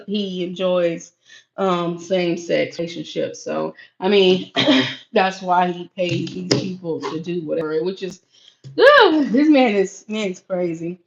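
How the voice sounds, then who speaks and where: A woman hosting a casual live stream from a home room, with a voice that carries. She chats and laughs while reacting to viewer comments. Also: mid-range voice. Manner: projecting voice that carries. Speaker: livestream host reading chat. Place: small home room used for crafting and recording, sparse and echoey. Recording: echoey room, dull lo-fi sound.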